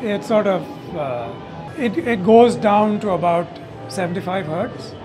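Man, 60s, high voice, halting technical explainer in interview, music behind. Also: expressive, rough recording. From India. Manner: halting, explanatory, tags statements with "okay". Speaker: loudspeaker company owner and designer, interviewee. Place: hotel corridor at an audio show. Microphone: lapel mic.

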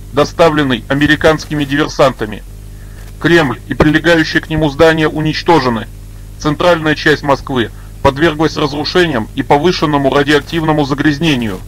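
Casting: male actor as solemn captain, moderately high pitch, measured formal official announcement. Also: lightly acted, poor audio. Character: solemn Soviet army captain reading an official statement. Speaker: military official.

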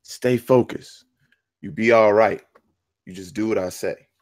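A man's voice: lowish voice, a little slow, a little mellow, no room sound, clean studio sound, lightly acted. A man playing a tough, protective getaway driver who talks in clipped street slang at a script read. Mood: urgent, steadying. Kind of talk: script table read acting.

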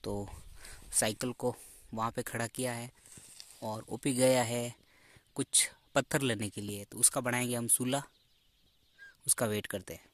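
A man's voice: medium pitch, fairly even intonation, a little slow, pauses often. A young man chatting to viewers in a casual outdoor vlog, laughing often, recorded on a phone in dry scrubland. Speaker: vlogger.

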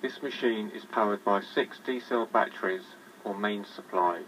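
A man giving scripted, matter-of-fact narration in a measured way, as if presenting a product. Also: fairly low pitch, fairly bright tone. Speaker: presenter demonstrating a vintage tape recorder. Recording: distant, noisy vintage tape recorder sound.

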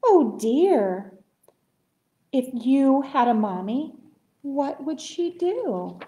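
A woman's voice: on the high side, very animated, slow, dark tone. A woman voicing a kind, motherly bear in a children's story, soothing and tender. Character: kind motherly female bear, gentle and comforting. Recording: roomy echo.

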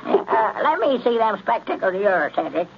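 An actor playing a fretful, excitable old country storekeeper with a rural Southern accent in a 1930s radio comedy. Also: male voice, high voice, moderate pace, creaky, full character voice. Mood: amused, bossy. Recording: dull, noisy old radio recording.

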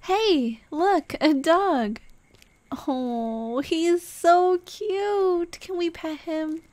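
A woman playing a tender, playful late girlfriend in an ASMR roleplay, speaking in a gentle, coaxing, teasing way. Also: very high-pitched, extremely slow, no room sound, full character voice. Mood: eager, pleading. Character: tender, playful dead girlfriend comforting her grieving partner. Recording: clear ambient noise behind. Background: ambient sound effects.

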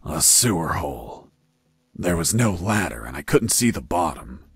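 An actor playing a wry, unflappable escaped prisoner telling his story in a video game, with deadpan delivery. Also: low voice, normal speed, creaky, husky, no echo, full character voice. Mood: wry recounting. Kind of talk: video game narration and dialogue.

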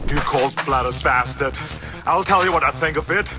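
A man's voice: on the high side, moderate pace, music in the background, natural voice. A man voicing a threatening, bombastic, foul-mouthed action-movie tough guy in a comic parody. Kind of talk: comedic parody acting.

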